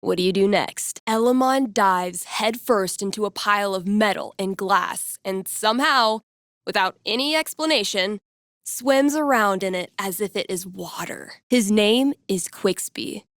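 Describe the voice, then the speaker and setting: A young woman running a tabletop game in a video game. She tells dark fantasy with theatrical suspense and a portentous air. Her pitch is in the middle, and the sound is dry, free of any room. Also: unhurried, dry recording.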